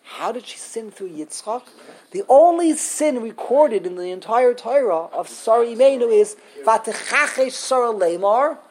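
A woman teaching a Torah class to a small group, lively and animated, switching often into Hebrew terms. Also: on the high side, moderate pace, rough recording. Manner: animated, acts out quotes, mixes in Hebrew terms.

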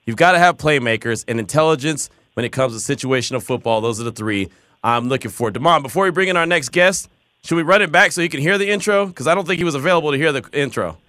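Male sports radio host, rapid and bright, upbeat, recorded dry in the studio. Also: on the high side, dry recording. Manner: energetic, upbeat, repeats phrases. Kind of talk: sports talk radio hosting. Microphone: studio mic.

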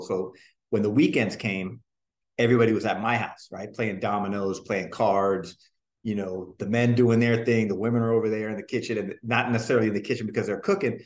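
A man from New Jersey in his forties recounts his past in a loose, chatty way. His voice sits low and the room around him rings a little. He strings thoughts together with plenty of fillers. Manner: relaxed, rambling, many "you know" fillers. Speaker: guest, singer and dancer. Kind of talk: casual interview storytelling. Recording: roomy echo.

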